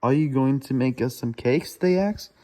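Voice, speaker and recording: A man reads a children's storybook aloud haltingly, stumbling over words and correcting himself as he goes. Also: medium pitch, dark tone. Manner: stumbling, laboured reading with self-corrections.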